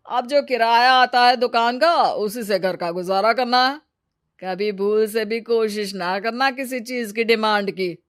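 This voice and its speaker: A woman voicing a lazy, irritable, jobless husband in a cartoon story, gruff and dismissive in manner. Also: fairly high pitch, unhurried, natural voice. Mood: blunt, dismissive.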